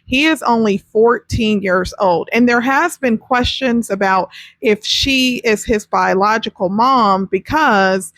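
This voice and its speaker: A woman's voice: on the high side, slow, a bit bright, no echo. Dry-sounding woman giving casual news commentary. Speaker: host of a true crime commentary show.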